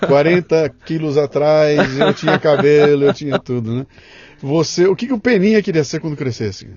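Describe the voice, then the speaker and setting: A man's voice: a little high, normal speed, a little mellow, lo-fi sound. A man from Brazil hosting a podcast, chatting informally and tossing in his own opinions. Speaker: podcast host. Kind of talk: casual conversational interview.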